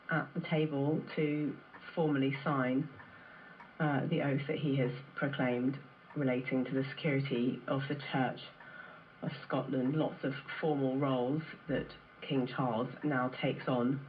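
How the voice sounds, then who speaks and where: A commentator gives restrained live ceremonial commentary in short halting phrases, heard through an old radio. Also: medium pitch, steady tone, a little hiss or hum. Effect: played through old radio. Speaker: broadcast commentator. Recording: thin, narrow sound through vintage radio.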